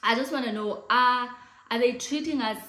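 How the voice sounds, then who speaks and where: A woman's voice: on the high side, normal speed. South African woman with a big voice, late 30s, chatty vlogger talking to camera, room echo. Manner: chatty, animated hand gestures, mixes in Xhosa phrases. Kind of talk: casual chat to camera. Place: living room, indoors, in front of a sofa.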